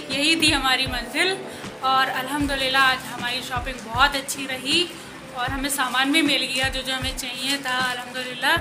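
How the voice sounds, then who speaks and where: A woman's voice: high-pitched, flat delivery, normal speed. A young woman talking casually into a phone camera she holds at arm's length, chatty and laughing often. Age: young adult. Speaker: vlogger. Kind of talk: casual walking vlog. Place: busy outdoor market street. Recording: street crowd noise with music.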